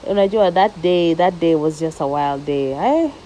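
A young woman hosting her own podcast, talking casually and lively and dropping Swahili slang into her speech. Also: lowish voice, moderate pace, a little hiss or hum, low-quality recording. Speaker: podcast host. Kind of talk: casual solo podcast monologue. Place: living in the United States.

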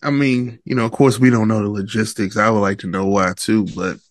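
A man hosting a podcast interview, relaxed and agreeable, asking open questions. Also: on the low side, a little fast, no room sound, studio quality. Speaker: podcast host.

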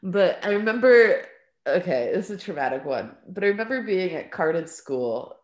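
A woman's voice: fairly low pitch, big pitch swings, normal speed. A woman, a podcast guest and artist, telling stories of growing up in a rambling, casual way, dropping "like" and "um" and laughing often. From California and Lebanon. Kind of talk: casual storytelling in an interview. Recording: roomy echo.

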